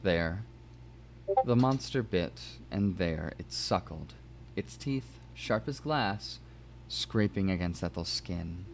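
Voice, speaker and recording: A man narrating prose fiction as a dramatic reading, measured and expressive. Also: low voice, creaky, a little hiss or hum. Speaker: narrator and host of a story stream.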